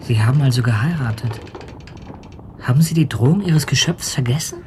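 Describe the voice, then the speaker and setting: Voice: medium pitch, normal speed, darkish tone, a little hiss or hum, lightly acted. A performer playing a grave listener in a radio drama, questioning a storyteller directly. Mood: probing.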